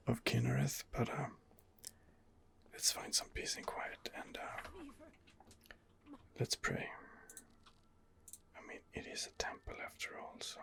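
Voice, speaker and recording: A man softly narrating a video game in ASMR style, musing hesitantly as he thinks aloud. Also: mid-range voice, gravelly. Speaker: gamer narrating a role-playing game playthrough. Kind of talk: whispered ASMR game commentary.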